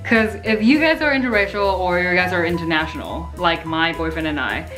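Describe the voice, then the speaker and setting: Young woman chatting to her channel, lowish voice, lots of "like", faint music under it. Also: animated, a little fast, low-quality recording. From Taiwan. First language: Mandarin Chinese. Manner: chatty, many fillers like "like". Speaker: vlogger. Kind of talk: casual chat to camera.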